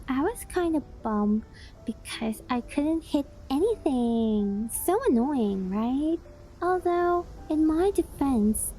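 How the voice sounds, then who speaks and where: A woman's voice: on the high side, mellow, a little hiss or hum, close to an everyday voice, poor audio. A young woman voicing a possessive, doting fox-spirit wife in a cutesy, affectionate ASMR roleplay. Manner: cutesy, clingy, affectionate, with breathy gasps and giggles. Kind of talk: ASMR roleplay, addressing the listener.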